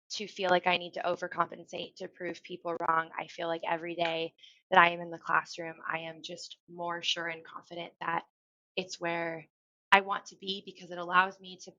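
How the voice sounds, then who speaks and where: A woman's voice: on the low side, pushed and bright. A woman who stutters, repeating words and restarting phrases, talking as a teacher guest in a casual podcast conversation. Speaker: elementary school teacher, guest. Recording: dull lo-fi audio.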